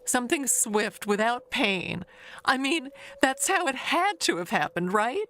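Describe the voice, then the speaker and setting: A woman playing a chatty, evasive older neighbor who rambles haltingly through an audio mystery monologue, with noise behind her. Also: a little high, sing-song, fairly bright tone. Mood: guarded, uneasy.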